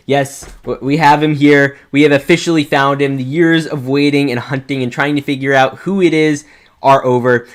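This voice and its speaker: A man hosting a fan interview show, speaking with excitable enthusiasm and often stammering over his word starts. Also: medium pitch, moderate pace. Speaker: host of a toy fan video show. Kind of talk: enthusiastic interview and scripted introduction.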